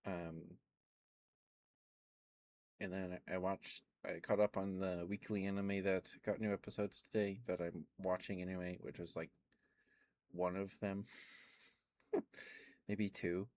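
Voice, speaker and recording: A man's voice: low voice, flat delivery, measured pace, long pauses, darkish tone. A man streaming ASMR, rambling casually to live viewers with frequent ums and little laughs. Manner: meandering, chatty, lots of ums and little laughs.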